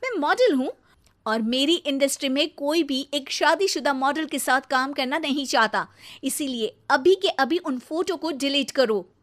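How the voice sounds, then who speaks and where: A woman voicing a spoiled, haughty young model in an animated family drama, petulant and snappy, mixing in English words. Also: sits high, a little slow. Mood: angry, demanding. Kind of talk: melodramatic animated story voice acting.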